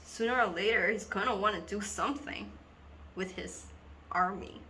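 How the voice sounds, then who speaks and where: A woman performing a dramatic monologue as a frightened, earnest schoolgirl, with halting, intense phrasing. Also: medium pitch, bright, forceful tone, a little hiss or hum, natural voice. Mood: intense, fearful. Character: schoolgirl Dina, frightened and earnest, realizing she was hypnotized. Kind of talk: dramatic monologue audition reading.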